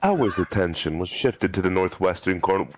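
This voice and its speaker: Male narrator, low register, animated pitch movement, amateur group dramatic reading. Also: normal speed. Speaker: reader in group roleplay. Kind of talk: scripted story narration.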